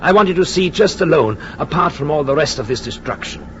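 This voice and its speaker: An actor playing an earnest husband in a 1940s radio drama, speaking formally and deliberately. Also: male voice, fairly high pitch, expressive, slight background noise, close to an everyday voice. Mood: tender, expectant. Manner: solemn, deliberate, formal phrasing. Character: earnest Austrian husband returning to his ruined hometown. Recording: muffled old radio broadcast, music behind.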